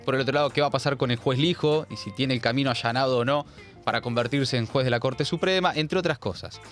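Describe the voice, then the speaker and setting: A man's voice: mid-range voice, quick pace, faint music behind. A man with a Rioplatense Argentine accent hosting a political commentary show, talking in an emphatic, sardonic, slangy way. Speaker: host.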